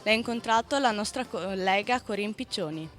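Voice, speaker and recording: A woman's voice: mid-range voice, moderate pace, a bit bright, soft background music. A young woman reading a scripted news introduction as a student presenter. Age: teens or young adult.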